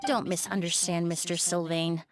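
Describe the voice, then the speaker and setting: A woman's voice: on the low side, little pitch movement, normal speed, close to an everyday voice. A woman playing a courteous, earnest young perfumer in a video game, with precise, composed wording. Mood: serious, composed. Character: polite, composed young female perfumer, earnest and devoted apprentice.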